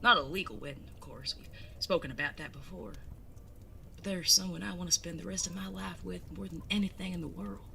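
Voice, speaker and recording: A woman as a genteel young bandit, bright-toned. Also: fairly low pitch, fairly even intonation, brisk, gravelly, a little hiss or hum, exaggerated voice. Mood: nervous. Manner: genteel, wordy, hesitant. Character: charming grown bandit son, evasive and affectionate. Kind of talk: audio drama acting.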